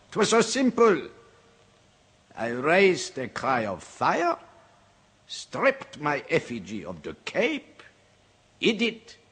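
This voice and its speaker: Silky, slow French villain doctor. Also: male voice, medium pitch, a bit bright, slightly rough, heavily acted. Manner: unhurried, over-polite, hesitating delicately. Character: suave, sinister French doctor, a fugitive killer, mockingly courteous. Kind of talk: old-time radio horror drama acting.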